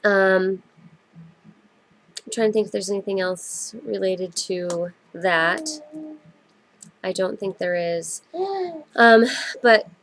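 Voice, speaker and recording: Stuffed-up thirties woman host, rambling casual solo podcast, lots of ums, middle pitch. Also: fairly even intonation, slow pace, pauses often, slight background noise. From United States. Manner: rambling, many ums and self-corrections. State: stuffed up with a cold.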